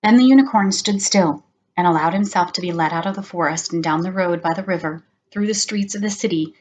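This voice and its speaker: A middle-aged woman reading a children's story aloud in an expressive, deliberate way. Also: lowish voice. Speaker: storybook session reader.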